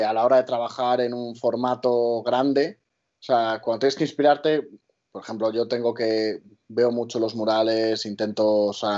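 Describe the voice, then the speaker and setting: A man's voice: mid-range voice, a little mellow, dull audio. A man conducting a casual interview in a rambling way, often rephrasing his questions mid-sentence.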